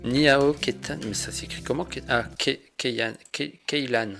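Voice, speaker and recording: A man's voice: medium pitch, fairly even intonation, measured pace, vocal fry, fairly bright tone, soft background music. A young man chatting casually and informally as a guest, in slangy everyday speech. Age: young adult. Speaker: guest co-commentator. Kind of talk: casual chat.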